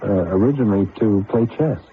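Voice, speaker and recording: A man's voice: on the low side, lively intonation, fairly quick. A man playing an anxious computer scientist in an old-time radio drama, hesitant and stammering, often placating. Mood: uneasy. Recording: tinny, noisy old radio broadcast.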